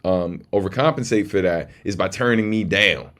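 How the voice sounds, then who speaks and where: Male livestream host, deep voice, laid-back street-casual delivery, big on shout-outs. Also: normal speed.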